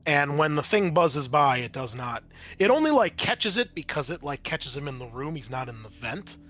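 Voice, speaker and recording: A man's voice: on the high side, big pitch swings, moderate pace, a bit bright, lo-fi sound. A man working as game master, narrating a tabletop roleplaying game in a casual, off-the-cuff way.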